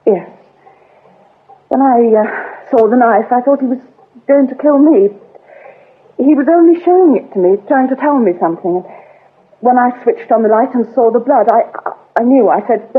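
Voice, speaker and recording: An actress playing a guarded, shaken British vicar's daughter in a radio drama, speaking in halting, subdued confession. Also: female voice, on the high side, slight background noise, lightly acted. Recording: muffled, phone-like old radio recording.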